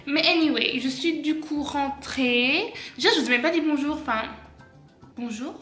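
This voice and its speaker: A woman's voice: high-pitched, moderate pace, fairly bright tone, soft background music. Tired chatty young woman vlogger. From Belgium. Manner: chatty, tired, says genre a lot. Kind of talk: casual vlog chat. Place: home.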